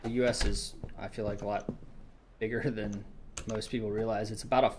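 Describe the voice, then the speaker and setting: A man's voice: on the low side, flat delivery, brisk, a little mellow, slight background noise. A young man from Georgia with a light Southern accent, chatting casually with stream viewers in a rambling, hesitant way full of restarts.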